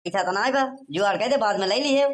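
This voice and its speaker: A woman's voice: mid-range voice, fast talker, lightly acted. A woman voicing a preachy, good-natured village elder man in a comic cartoon, with an Awadhi accent. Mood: easygoing.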